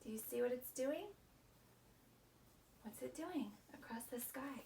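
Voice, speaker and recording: A woman's voice: high voice, a little slow, gravelly, dark tone. A woman leading a children's story time, reading expressively in simple phrases and asking young listeners questions. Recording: distant mic with faint background noise.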